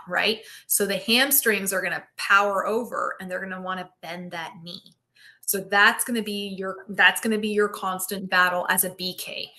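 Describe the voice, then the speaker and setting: A middle-aged woman physical therapist hosting a live Q&A stream, explaining anatomy with enthusiasm and often checking in with "right?". She projects loudly after taking off her microphone. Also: medium pitch. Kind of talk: live-stream Q&A, casual teaching. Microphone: built-in device mic.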